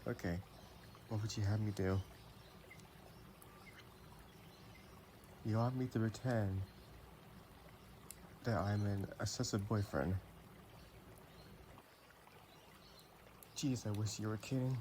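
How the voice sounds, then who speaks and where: A man playing an affectionate, playful vampire boyfriend in an intimate roleplay audio, chatting in a gentle, teasing way. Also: fairly low pitch, normal speed, pauses often, a little hiss or hum, close to an everyday voice. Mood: teasing, reluctant.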